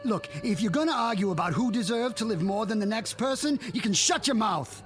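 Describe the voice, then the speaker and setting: A man's voice: high voice, expressive, a little fast. A male actor in a radio drama playing a desperate young father who pleads urgently and stammers for his family. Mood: agitated.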